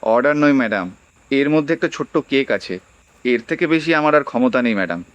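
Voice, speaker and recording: A man's voice: mid-range voice, expressive, fairly quick, clear, resonant tone, no echo, dull audio, natural voice. Humble young delivery boy, acted by a man. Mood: humble, grateful. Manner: deferential, earnest, softly polite. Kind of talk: audio horror story acting.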